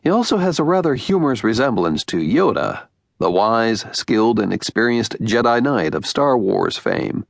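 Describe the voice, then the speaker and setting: A man with an American accent narrating an audiobook in measured, deliberate phrases with emphatic pauses. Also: mid-range voice, sing-song, creaky, no room sound, studio quality.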